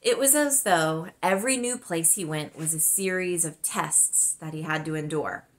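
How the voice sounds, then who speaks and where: A woman narrating a children's novel aloud as its author, with expressive, scripted storytelling delivery. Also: deep voice, moderate pace. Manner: expressive, clearly dramatized delivery.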